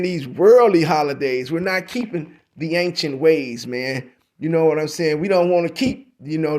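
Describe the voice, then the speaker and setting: Man, high-pitched voice, preaching scripture lesson, repetitive street-corner preacher cadence. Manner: repetitive, preachy, reads verses aloud slowly. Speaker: religious teacher.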